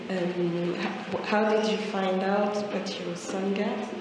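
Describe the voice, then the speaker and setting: A woman's voice: medium pitch, level tone, takes it slowly. A young woman asking a hesitant question from lecture hall seating into a handheld mic. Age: young adult. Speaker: audience member asking a question. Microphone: handheld microphone. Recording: very echoey hall, clear noise.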